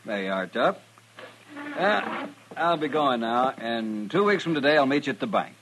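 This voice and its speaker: An actor playing a polite, persuasive, self-assured city buyer in an old-time radio western. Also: male voice, medium pitch, sing-song, normal speed, fairly bright tone, husky, full character voice, low-quality recording. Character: smooth-talking, insistent male city buyer. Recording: vintage radio recording.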